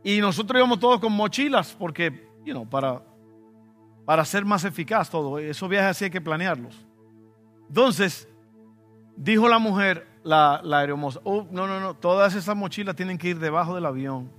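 A man's voice: high voice, animated, normal speed, fairly bright tone, soft background music. A male pastor with a Dominican Spanish accent, preaching a conversational sermon with personal stories and sudden emphatic bursts. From Dominican Republic.

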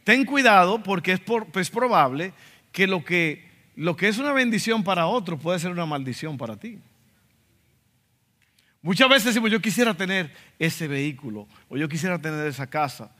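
A man's voice: high-pitched, expressive, normal speed, pushed and bright. A man with a Dominican accent preaching a sermon as a pastor, emphatic, acting out quotes in asides. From Dominican Republic. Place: church sanctuary. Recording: reverberant church hall.

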